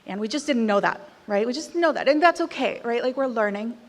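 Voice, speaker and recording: A woman's voice: fairly high pitch, animated, quick pace. Woman speaking in a reverberant hall. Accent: American. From Costa Rica. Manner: candid, conversational, with some emphatic punches. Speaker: award speaker. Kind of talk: speech at an awards event. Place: large hall.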